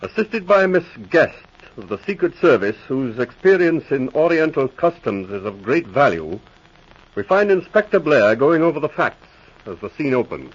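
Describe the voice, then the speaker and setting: A man's voice: a little high, sing-song, moderate pace, breathy edge. A man announcing and narrating a 1940s radio drama in a formal, dramatic manner. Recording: dull lo-fi old radio transcription.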